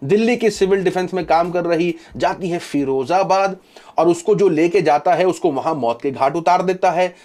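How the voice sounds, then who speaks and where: A man's voice: fairly high pitch, a little mellow. A man, presenting news commentary to camera in an emphatic, explanatory way. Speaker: host of a news commentary channel.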